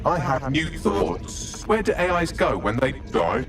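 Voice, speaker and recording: A snarky AI assistant for a video game, pitched on the high side and lively in its melody. It speaks formally and precisely, with haughty condescension, under loud music. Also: male voice, unhurried. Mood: wistful, failing. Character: snarky, brainy male artificial intelligence assistant, fond and haughty. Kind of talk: scripted video game voice acting.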